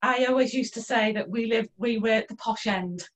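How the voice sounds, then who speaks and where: A woman's voice: a little high, normal speed. A middle-aged woman from North Wales, born in Liverpool, telling her life story casually as an interview guest on a video call. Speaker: interview guest, holistic therapist. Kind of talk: casual interview, personal reminiscence. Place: indoors in a small room at home, on a video call. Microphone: computer microphone on a video call.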